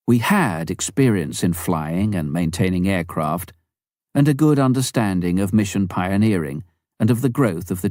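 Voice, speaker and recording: Deep-voiced man reading a memoir, lively intonation. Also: no echo, clean studio sound. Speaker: audiobook reader. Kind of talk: scripted narration of a memoir.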